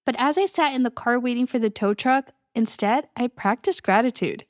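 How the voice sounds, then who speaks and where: A woman's voice: fairly high pitch, normal speed, no echo, studio quality. A young adult woman hosting her own podcast as a coach, talking casually and upbeat, often breaking into little laughs. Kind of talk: solo podcast monologue.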